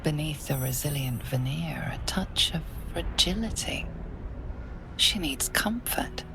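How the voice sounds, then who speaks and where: An actress playing a knowing, intimate narrator in a dark fantasy video game, with deliberate, hushed delivery. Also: female voice, low-pitched, lively intonation, creaky, whispered, exaggerated voice. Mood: hushed, observant.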